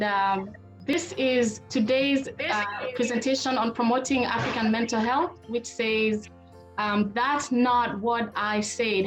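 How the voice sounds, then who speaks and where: A woman's voice: on the high side, poor audio. A middle-aged woman hosting a webinar in an informal, conversational way, speaking straight to her listeners. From Kenya. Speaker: webinar host and presenter, marriage therapist. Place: online video call.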